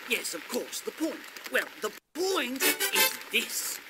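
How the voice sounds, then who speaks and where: A man's voice: very high-pitched, sing-song, takes it slowly, full character voice. An actor playing a polite, flustered middle-aged male knight in a video game, hesitant, deferential and earnest. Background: music.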